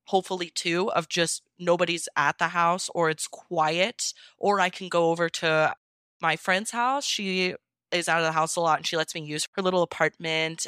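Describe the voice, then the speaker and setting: Female interview guest, slightly low pitch, close dry sound, informal and chatty. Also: a bit bright, clear, resonant tone, no echo. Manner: chatty, informal, explains step by step. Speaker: podfic narrator being interviewed. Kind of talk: casual interview chat.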